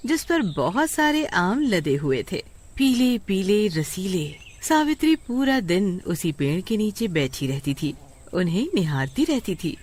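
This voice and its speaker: A woman's voice: fairly low pitch, darkish tone, a little hiss or hum. A woman reading scripted narration for a cartoon story.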